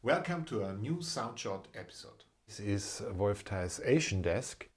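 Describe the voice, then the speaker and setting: A man hosting a podcast conversationally, a little halting and sometimes repeating his words. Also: fairly low pitch, big pitch swings, breathy edge. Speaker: podcast host, corporate lawyer.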